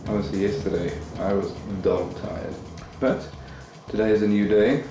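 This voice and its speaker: A man's voice: fairly low pitch, moderate pace, dark tone, echoey room, soft background music, rough recording. A man, an exchange student, talking wryly to the camera in a video diary.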